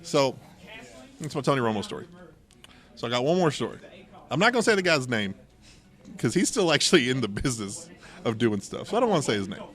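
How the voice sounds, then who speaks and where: Male podcast host, mid-pitched and informal, spinning personal anecdotes conversationally and voicing quoted exchanges. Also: sing-song, quick pace, pauses often, a bit bright. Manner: informal, acts out quoted dialogue. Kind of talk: casual anecdotal storytelling chat.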